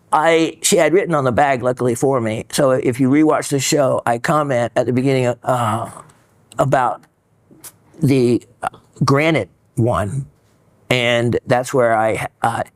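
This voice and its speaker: A man's voice: medium pitch, no echo. Rambling man hosting a gem show. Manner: rambling, many fillers and restarts. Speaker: host reading viewer mail. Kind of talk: casual educational chat.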